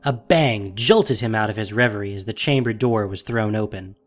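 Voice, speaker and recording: A man's voice: on the low side, moderate pace, faint music behind. A man narrating a fantasy audio drama in a dramatic, scripted storytelling style.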